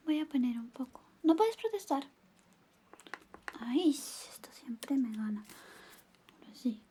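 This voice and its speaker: A woman with a high, mellow voice plays a doting, playfully jealous best friend in an ASMR roleplay. She speaks slowly and softly, slipping in and out of whispers. Her manner is coy and teasing, with little murmured interjections. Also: sing-song, slight background noise. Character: affectionate, teasing, jealous young female best friend in love with the listener. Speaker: ASMR performer. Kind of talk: soft-spoken ASMR roleplay with personal attention, partly whispered.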